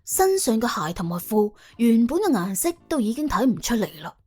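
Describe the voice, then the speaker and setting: Female audiobook narrator, Cantonese, higher register, animated dramatic delivery with a wry, chatty edge. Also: normal speed, slightly rough, faint music behind. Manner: wry, colloquial, exclamatory. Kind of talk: dramatic scripted storytelling.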